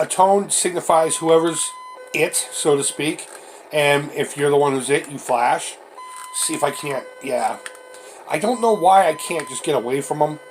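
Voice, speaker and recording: A middle-aged man with a stuffy, congested voice from a head cold, giving casual, self-deprecating commentary as he hosts a retro video game showcase. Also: on the high side. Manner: self-deprecating, informal, mildly crude. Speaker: host of a retro gaming channel. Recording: clearly noisy home recording.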